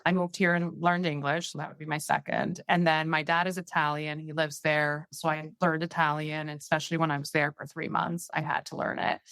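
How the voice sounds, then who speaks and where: A woman's voice: low voice, flat delivery, brisk, few pauses, a bit bright, dry recording. A woman from Peru, whose first language is Spanish, chatting as a podcast guest with many "like" fillers. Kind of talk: casual interview chat.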